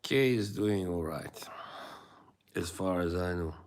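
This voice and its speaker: A man's voice: sits low, slow. A man from Bosnia and Herzegovina, hosting a casual live stream and chatting with viewers in a rambling, hesitant way with frequent chuckles. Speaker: livestream host.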